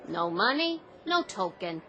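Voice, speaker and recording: An actress playing a brusque, impatient subway clerk in a radio drama, curt and businesslike. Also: female voice, medium pitch, sing-song, a little slow, dull audio, over music.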